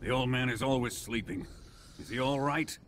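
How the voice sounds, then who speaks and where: A man's voice: medium pitch, normal speed, background noise, natural voice. A male actor playing a menacing, commanding Soviet military officer in a video game scene. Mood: stern, urgent. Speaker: villain in a game cutscene. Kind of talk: dramatic video game voice acting.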